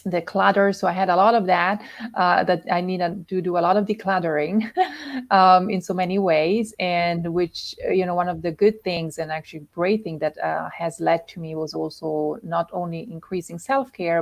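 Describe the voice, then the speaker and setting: A woman's voice: fairly low pitch, fairly even intonation, moderate pace, vocal fry. A middle-aged woman from Italian-speaking Switzerland with a Swiss Italian accent, speaking as a guest coach over a video call with many ums and restarts. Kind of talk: livestream interview.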